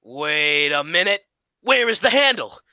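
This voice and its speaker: A man's voice: fairly high pitch, animated, a little slow, vocal fry, sharp tone, no echo, studio quality, exaggerated voice. A man playing the exasperated, scolding straight man of an old radio comedy duo, in a 1940s radio acting style. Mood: impatient. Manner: scolding, insistent.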